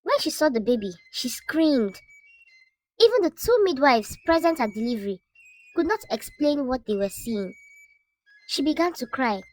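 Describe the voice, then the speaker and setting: A woman narrating a scripted folktale as a storyteller, in a measured, dramatic way. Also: sits high, sing-song, low-quality recording.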